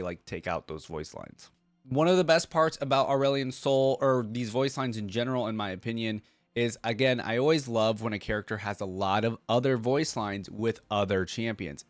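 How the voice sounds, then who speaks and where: Guy doing chatty reaction channel commentary, lowish voice, dry close sound, says like and right a lot. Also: no room sound. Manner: conversational, peppered with "like", "right?" and "you guys". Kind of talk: casual fan commentary to viewers.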